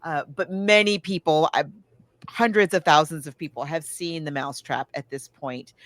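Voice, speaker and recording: Low-voiced woman, chatty podcast host, close and dry. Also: moderate pace, fairly bright tone, no echo. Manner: chatty, enthusiastic, emphatic with repeated intensifiers. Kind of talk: casual book and film discussion.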